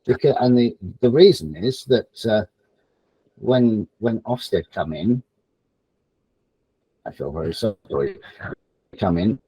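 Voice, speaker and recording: Man, low husky voice, mellow tone, hesitant phrasing, informal teaching talk, online event. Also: expressive, dry recording, poor audio. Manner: halting, hesitant, repeats phrases while thinking aloud. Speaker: online education event speaker. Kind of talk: informal presentation, advice to teachers. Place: online event, close dry sound.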